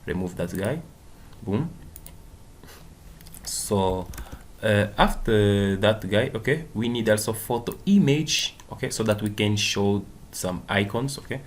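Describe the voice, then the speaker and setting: A man whose first language is Portuguese, walking through a coding tutorial in a casual way, often saying "okay" and "boom". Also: expressive, slow, slight background noise. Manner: non-native English with small slips. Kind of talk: screen-recorded coding walkthrough.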